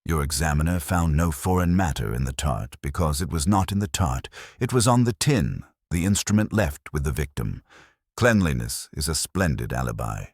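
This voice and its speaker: Very deep male story narrator, dry studio sound. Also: expressive, normal speed, few pauses, a little mellow, no room sound. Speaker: audiobook narrator. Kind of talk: scripted first-person detective story narration.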